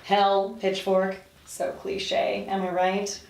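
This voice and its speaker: A woman's voice: mid-range voice, monotone, takes it slowly, a little mellow, far from the mic. A woman acting a chatty, reassuring roommate in a TV script table read, friendly and placating but easily exasperated. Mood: friendly, explaining. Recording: echoey room, slightly off-mic.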